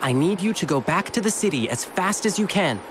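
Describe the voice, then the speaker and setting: A man's voice: high voice, expressive, brisk. A man playing an earnest, protective young hero in a video game, with a polite, reassuring way of talking. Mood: urgent, earnest. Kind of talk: scripted video game voice acting. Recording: game music under the voice. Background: background music.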